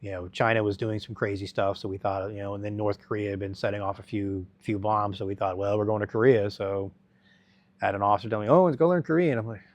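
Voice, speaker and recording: A middle-aged man telling his life story as an interview guest, halting and rambling with many restarts. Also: fairly low pitch, steady tone, darkish tone, dry recording.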